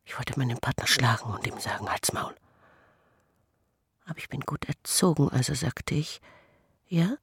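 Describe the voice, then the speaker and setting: An actress playing a troubled woman haunted by experiments, in an intimate, breathy, halting radio drama monologue. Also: very deep voice, expressive, exaggerated voice. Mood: tense, recounting. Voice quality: breathy, whispery. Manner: slow, hushed, halting delivery. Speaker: actor.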